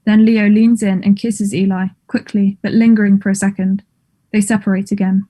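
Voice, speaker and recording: A woman's voice: medium pitch, little pitch movement, moderate pace, mellow. A woman reading stage directions as scripted narration.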